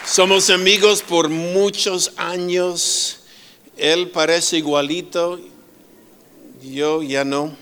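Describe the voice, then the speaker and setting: A middle-aged man preaching as a guest speaker, slow and full of pauses and restarts, with humorous asides. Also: fairly high pitch, little pitch movement, bright, forceful tone, a faint crowd, slight background noise. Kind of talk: sermon.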